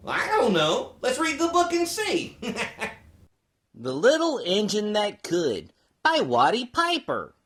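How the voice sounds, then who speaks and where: A cheerful, folksy mule character acted out by a performer reads a children's storybook aloud. The delivery is slow and sing-song, with pitch that leaps about dramatically from phrase to phrase. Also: a bit bright, breathy edge, heavily acted.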